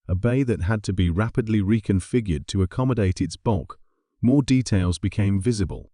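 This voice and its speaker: Low, mellow man narrating science fiction. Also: no echo, clean studio sound. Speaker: story narrator.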